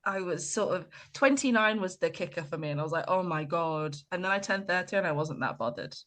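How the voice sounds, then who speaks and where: A woman's voice: lowish voice, lively intonation, brisk, fairly bright tone. A woman in her thirties co-hosting a podcast, supportive and conversational, giving frequent short agreements. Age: 30s. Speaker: podcast co-host. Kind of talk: casual chat.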